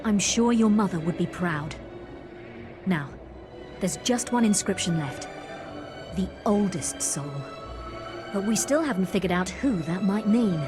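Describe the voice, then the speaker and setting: Self-assured female adventurer for a fantasy game, woman actor; mid-pitched, dry, matter-of-fact, music behind. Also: expressive, measured pace, long pauses, lightly acted. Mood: sober.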